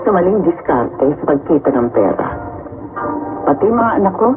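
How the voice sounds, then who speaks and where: A woman's voice: deep voice, big pitch swings, normal speed. An actress playing a self-justifying, money-minded middle-aged mother in a dramatic confessional radio style. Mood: regretful. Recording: dull radio recording, loud music behind.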